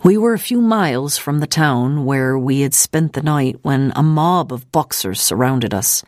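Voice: mid-range voice, lively intonation, unhurried, a little mellow, dry recording, lightly acted. Composed veteran missionary woman, read by a narrator, dry recording. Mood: grave, recollecting. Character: steadfast veteran missionary woman recounting danger.

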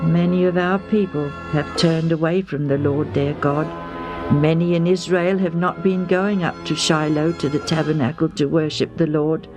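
A woman voicing a middle-aged Israelite father who speaks in a solemn, steady, preacherly way. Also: low-pitched, normal speed, over music, close to an everyday voice.

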